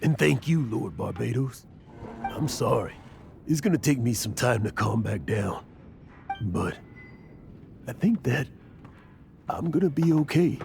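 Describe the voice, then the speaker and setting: A shaken, grateful man, with a gravelly, creaky voice who stammers slowly through his words. His delivery is halting. Game music plays clearly behind him. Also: mid-range voice, lively intonation, a little mellow. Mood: overwhelmed. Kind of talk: video game voice acting. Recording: game score under dialogue.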